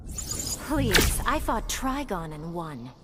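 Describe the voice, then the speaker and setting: Cheerful alien princess, lighthearted, bouncy pitch, game audio, loud background noise. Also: female voice, very animated, drawn-out words, full character voice. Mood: confident. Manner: lighthearted and confident. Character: upbeat young alien warrior princess. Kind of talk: video game character dialogue.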